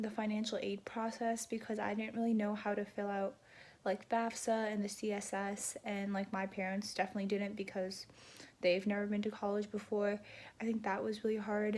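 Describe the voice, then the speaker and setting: A woman's voice: medium pitch, a little mellow, a little hiss or hum. A young woman student reflecting casually, with filler words. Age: young adult. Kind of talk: casual personal account.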